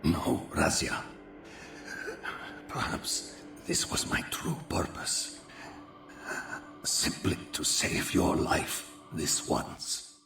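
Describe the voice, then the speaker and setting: An actor playing a dying ancient vampire mentor in a video game, weak, halting and solemn, with a gravelly, breathy voice. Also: male voice, very deep voice, very animated, music in the background, heavily acted. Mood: tender, resigned. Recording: echoey, distant, faint noise.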